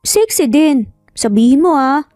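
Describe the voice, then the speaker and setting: A woman's voice: sits high, lively intonation, measured pace, a little mellow, no echo, studio quality, pitched above the performer's own voice. A Filipino woman voicing a sassy, playful young woman in love with cheeky, bantering delivery. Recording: music behind the voice.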